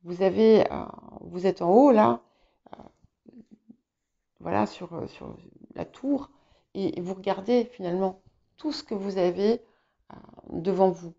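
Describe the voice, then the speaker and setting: Woman doing tarot guidance, english is her first language, hesitant but reassuring, soft warm tone, kinda muffled recording. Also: mid-range voice, measured pace, vocal fry, long pauses. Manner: hesitant, searching for words, reassuring. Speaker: tarot reader hosting guidance videos. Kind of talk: informal intuitive tarot reading to viewers. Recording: slightly dull, muffled sound.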